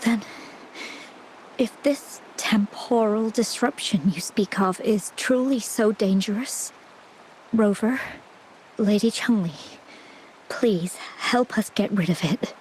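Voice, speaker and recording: An actress playing a gentle, ailing young woman in a video game, soft-spoken and hesitant in her delivery. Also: medium pitch, slow, slight background noise, lightly acted, rough recording. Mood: weary, resigned. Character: frail, gentle ill young woman, former landscape painter. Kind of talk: dramatic video game voice acting.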